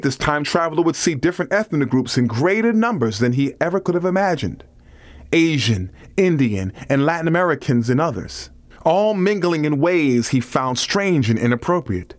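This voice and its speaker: A man's voice: a little high, no echo. Man narrating a nonfiction audiobook, close and dry.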